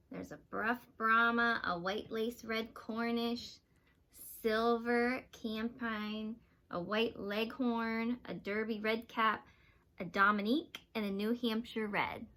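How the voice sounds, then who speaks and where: A woman's voice: a little high, sharp tone. A woman teacher giving a read-aloud lesson to young children, explaining simply and asking them questions. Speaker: teacher reading aloud to students.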